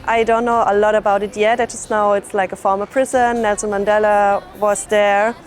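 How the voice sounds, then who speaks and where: A woman's voice: medium pitch, level tone, moderate pace, soft background music. A woman from Germany, talking casually to camera as a tour participant.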